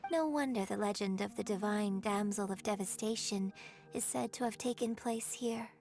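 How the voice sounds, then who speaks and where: A woman playing a graceful young opera performer in a video game, telling things in an eloquent, measured, theatrical way. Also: medium pitch, creaky, darkish tone, faint music behind, lightly acted. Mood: nostalgic, admiring. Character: graceful young female opera performer, poised, refined storyteller.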